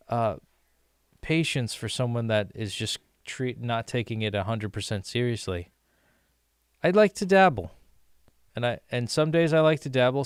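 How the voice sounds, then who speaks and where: Chatty American guy with a smooth voice. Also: mid-range voice, dry recording, clean studio sound. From United States. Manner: chatty, rambling, many restarts and fillers. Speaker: language-learning vlogger. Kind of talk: casual rambling monologue to viewers.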